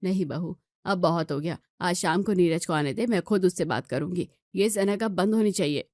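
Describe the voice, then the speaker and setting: A woman's voice: on the low side, normal speed, darkish tone, no echo, natural voice. A woman plays an older Indian mother-in-law in a family storybook drama. She grumbles with matronly concern and disapproval. The recording is dry and close. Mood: stern. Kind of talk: acted Hindi family story dialogue.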